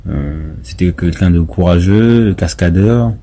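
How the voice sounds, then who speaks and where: Man, thirties, very low voice, mellow, hesitant, interview guest. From France. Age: 30s. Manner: hesitant, halting with many fillers. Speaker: interview guest, member of parliament. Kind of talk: casual interview talk.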